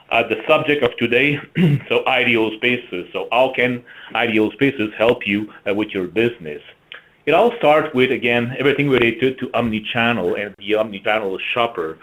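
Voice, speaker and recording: A man with a French-Canadian accent presenting a business webinar, halting with frequent fillers and throat clearing. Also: on the low side, a bit bright, low-quality recording. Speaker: marketing executive presenting a webinar.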